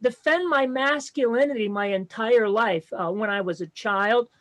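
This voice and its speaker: A man in his fifties with a strikingly high, light voice does a stand-up set over a video call. He delivers self-deprecating jokes in a dry, deadpan way. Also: normal speed. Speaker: comedian.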